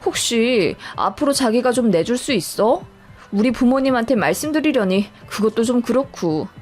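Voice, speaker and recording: A woman's voice: fairly high pitch, animated, moderate pace, soft background music, close to an everyday voice. A woman voicing a broke young boyfriend in a story, pleading tearfully for help.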